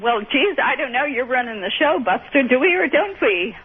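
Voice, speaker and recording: A woman phoning a radio show, casual, blunt and impatient, with a sarcastic edge and a raspy voice. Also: sits high, big pitch swings, moderate pace, slight background noise. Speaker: phone-in caller. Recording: dull telephone line on lo-fi radio.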